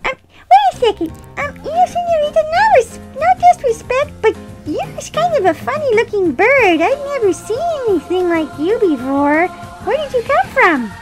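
A woman's voice: extremely high pitch, very animated, moderate pace, music in the background, voice pushed up. A woman voicing a cheeky, eager little female monkey in a puppet show, chatty and blunt in a childlike way. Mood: curious.

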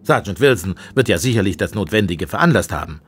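Blustering, animated police inspector, male. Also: low voice, fast talker, a little hiss or hum. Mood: confident. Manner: brusque, indignant, emphatic. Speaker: actor in an audio drama. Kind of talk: German audio drama acting.

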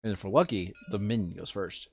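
A man's voice: fairly low pitch, a little fast, slightly rough, soft background music. A man playing a board game, talking through his moves and reading cards aloud in a casual, muttering way. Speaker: player in a tabletop board game playthrough. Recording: dull lo-fi close mic.

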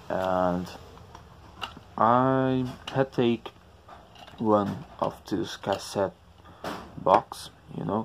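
A man speaking non-native English, haltingly searching for words as he narrates an informal how-to tutorial. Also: lowish voice, gravelly, a little hiss or hum. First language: not English. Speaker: DIY tutorial host.

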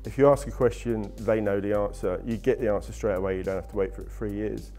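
A man's voice: lowish voice, fairly even intonation, fast, warm tone, faint music behind. A middle-aged man answering interview questions about ski holidays, enthusiastic and salesy, with frequent restarts. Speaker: travel company guest. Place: indoor studio set with wooden walls.

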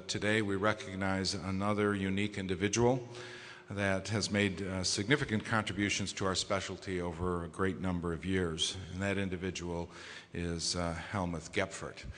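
Deep-voiced man speaking from a podium. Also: a bit bright. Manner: measured, with frequent hesitation fillers. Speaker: society president presenting awards. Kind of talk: formal award ceremony speech. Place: large conference hall. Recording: echoey conference hall.

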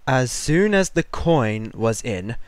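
A man's voice: medium pitch, very animated, takes it slowly, no echo, studio quality. A man reading a piece of fiction aloud as a scripted story narration. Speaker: fan fiction reader.

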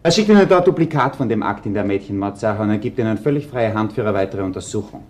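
An actor playing a factual, thorough police assistant with an Austrian accent, giving a report in a crime radio play. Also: male voice, lowish voice, animated, fast talker, darkish tone, close to an everyday voice. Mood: businesslike. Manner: matter-of-fact, thorough. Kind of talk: German-language crime radio play acting.

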